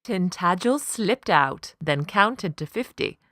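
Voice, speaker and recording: A woman reads a children's story in a slightly low voice with plenty of melodic movement. Her delivery is unhurried, rhythmic and deliberate, recorded close and dry. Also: expressive, a bit bright, no room sound. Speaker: voice actor, storyteller. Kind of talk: expressive scripted children's story narration.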